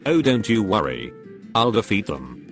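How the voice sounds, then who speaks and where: A man's voice: on the low side, big pitch swings, normal speed, gravelly, loud music behind the voice. A man playing a video game and commentating casually in a playful, cheeky way. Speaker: game streamer.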